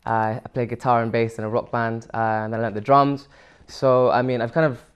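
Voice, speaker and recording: A man's voice: medium pitch, little pitch movement, a little fast, clean tone. A young man from Sri Lanka, answering interview questions casually with many fillers and restarts. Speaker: musician guest.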